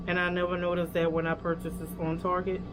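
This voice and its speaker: A woman's voice: lowish voice, monotone, fast talker, rough recording. A young woman with a Louisiana accent, casually reviewing hair products and chatting straight to her viewers with lots of fillers. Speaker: hair care vlogger.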